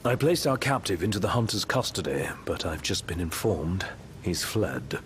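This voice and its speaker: An actor playing a composed, calculating young tactician in a video game, speaking in a measured, precise and articulate way. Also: male voice, low voice, big pitch swings, slight background noise. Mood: calm, commanding. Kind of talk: dramatic video game voice acting.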